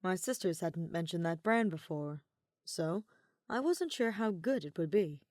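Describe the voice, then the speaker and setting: A woman voicing a relaxed, easygoing teenage boy who talks casually and teases gently. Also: on the low side, a little slow, no echo. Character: laid-back easygoing teen boy.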